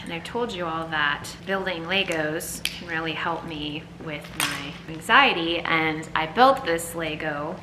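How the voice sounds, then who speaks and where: Low-voiced woman vlogging in an echoey room. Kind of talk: candid personal vlog talk. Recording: echoey room, faint background noise.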